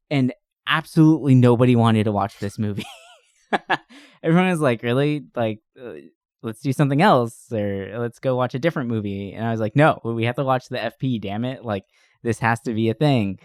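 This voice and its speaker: Male podcast co-host, mid-pitched, rambling and enthusiastic, giggles easily, casual review chat, very dry recording. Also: a little mellow, no room sound.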